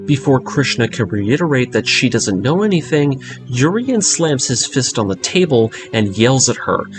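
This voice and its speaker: A man delivers scripted story narration with a middle-pitched voice and lively, varied intonation. He recounts the plot as a narrator would, with faint music running underneath. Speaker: narrator of an anime story breakdown series.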